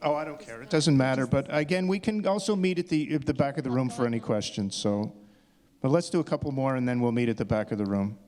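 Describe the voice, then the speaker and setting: A man hosting an informal question session, speaking haltingly and repeating himself. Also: mid-range voice, a little mellow. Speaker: event host and panelist. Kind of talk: informal live Q&A moderating.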